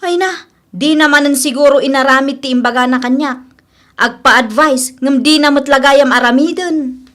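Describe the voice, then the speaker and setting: Woman acting a high-pitched, concerned Ilocano friend. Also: moderate pace, fairly bright tone. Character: concerned young woman, protective, disapproving friend. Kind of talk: audio comedy-drama acting.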